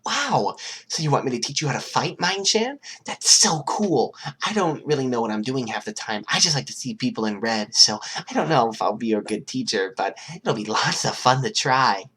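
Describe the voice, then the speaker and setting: A manic teenage villainess, as an audiobook narrator puts her on, pitched way up and swinging wildly. She gushes in an excitable sing-song, cute and bloodthirsty at once, with a husky edge. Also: normal speed, few pauses. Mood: excited, gushing.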